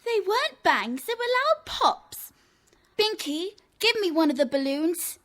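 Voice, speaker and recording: A mischievous, gloating young schoolgirl in a children's audio play. The voice is extremely high, swoops up and down, and takes its words slowly. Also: sing-song, a bit bright, heavily acted. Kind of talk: children's audio drama acting.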